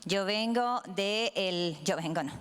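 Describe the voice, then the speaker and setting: A woman from Cuba speaking as a panel guest, measured and with frequent hesitations. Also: mid-range voice, fairly bright tone, clear, resonant tone. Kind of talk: panel discussion talk. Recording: hall with long reverb.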